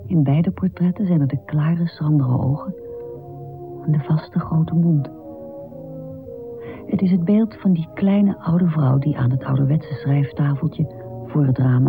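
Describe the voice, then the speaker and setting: A man reading a written tribute in a solemn, formal, measured way, phrase by phrase. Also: on the high side, vocal fry. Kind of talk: solemn scripted recitation.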